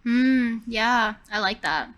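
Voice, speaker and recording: A woman's voice: a little high, expressive, takes it slowly, fairly bright tone, clear, resonant tone. A woman playing a tabletop role-playing game, improvising the story in a casual, conversational way.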